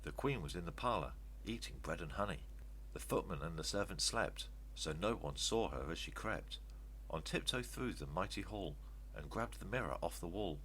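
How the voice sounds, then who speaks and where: An older man reads rhyming verse aloud as children's storytelling, in an expressive, emphatic way. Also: low voice, moderate pace, low-quality recording. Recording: steady background noise with hum.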